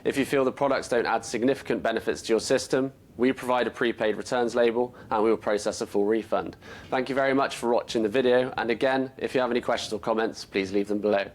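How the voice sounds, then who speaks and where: A man presenting a scripted product explainer in a measured, explanatory way. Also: medium pitch. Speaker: company presenter.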